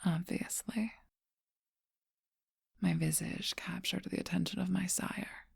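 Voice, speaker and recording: A woman voicing a seductive, ageless, possessive female vampire in an ASMR role-play, speaking in a soft, breathy whisper with slow, intimate teasing. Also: lowish voice, steady tone, no room sound, studio quality, exaggerated voice. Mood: wistful.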